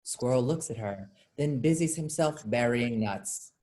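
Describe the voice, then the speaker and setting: A woman's voice: rumbling low, expressive, a little slow, warm tone. A woman reading scripted stage directions as narration for a play.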